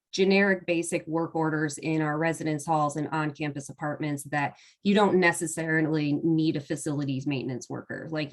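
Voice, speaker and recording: A young woman speaks in a low, stuffed-up voice, congested from a cold. She tells her story in a chatty panel style, with plenty of ums and quick laughs. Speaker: webinar panelist. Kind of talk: personal story told on a panel.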